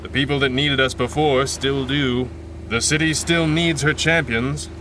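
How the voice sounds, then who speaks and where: An actor playing a seasoned masked hero and devoted husband, measured, articulate and dryly understated, in a 1930s pulp radio drama style. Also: male voice, medium pitch, fairly even intonation, bright, forceful tone, slight background noise, poor audio. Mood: reflective, earnest. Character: seasoned masked male adventurer, calm, dry-witted, devoted husband.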